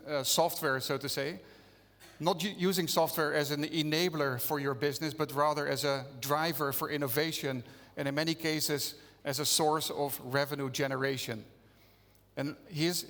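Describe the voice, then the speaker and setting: A man with a Dutch accent gives a conference talk and keeps it conversational by putting questions to the crowd. His voice sits fairly high for a man. The sound carries a long reverb from the large hall. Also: fairly bright tone. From Netherlands. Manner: conversational, engages audience with questions. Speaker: conference speaker. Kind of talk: conference keynote presentation. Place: conference venue stage.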